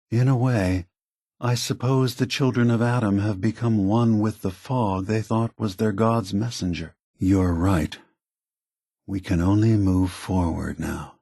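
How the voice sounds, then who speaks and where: Thoughtful synth leader, video game character, weary, grave, peace-seeking, husky, deliberate pacing, close dry sound. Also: male voice, on the low side, darkish tone, no room sound, full character voice. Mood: resigned, sombre. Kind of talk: scripted video game dialogue.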